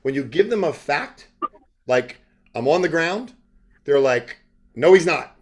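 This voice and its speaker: A man's voice: fairly high pitch, expressive, a little slow. A man hosting an interview, talking informally and conversationally, drifting off into asides. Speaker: interviewer, self-styled journalist host. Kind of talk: casual, rambling interview talk.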